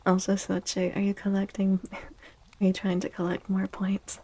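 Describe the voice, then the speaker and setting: Casting: female ASMR stream host, soft and breathy, slightly creaky, unhurried, hushed and gentle. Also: mid-range voice, monotone. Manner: slow, hushed, gentle, often slipping into near-whisper. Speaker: livestream host. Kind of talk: soft-spoken ASMR livestream chat.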